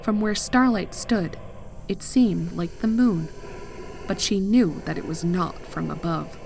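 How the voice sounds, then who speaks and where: A woman narrating a scripted horror story in a measured, dramatic manner. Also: fairly low pitch, gravelly, a little mellow, a little hiss or hum, low-quality recording.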